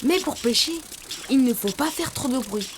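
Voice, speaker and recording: An actor voicing a kind, helpful young cartoon character who calmly teaches children how to draw. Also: sits high, moderate pace, a little hiss or hum. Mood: friendly, calm. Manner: calm, instructive, encouraging. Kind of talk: children's cartoon dialogue and drawing tutorial. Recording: loud music underneath.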